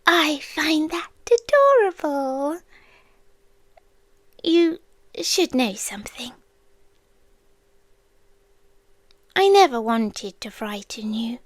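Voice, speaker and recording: Ancient possessive female stalker for ASMR roleplay, played by a woman: British, very slow, eerily tender, slipping into whispers, close and dry. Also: high-pitched, sing-song, dry recording, exaggerated voice. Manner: slow, hushed, often slipping into whispers, teasingly menacing. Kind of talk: ASMR roleplay, intimate dramatic monologue. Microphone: close-up ASMR microphone, dry.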